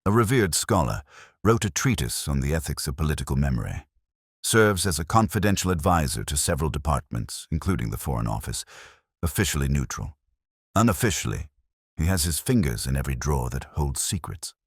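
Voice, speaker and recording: An intense, analytical gentleman detective played by a male narrator speaks in a very deep voice. His delivery is deliberate and declamatory, with wide pitch movement. It is a brooding storybook figure, recorded dry. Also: sing-song, slightly rough, no echo, heavily acted. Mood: grave, certain.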